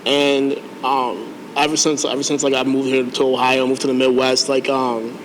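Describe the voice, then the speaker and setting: A man's voice: medium pitch, flat delivery, moderate pace, a little hiss or hum, poor audio. A young man, a first-time protester, talking hesitantly on a TV panel with many fillers. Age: young adult. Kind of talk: panel discussion.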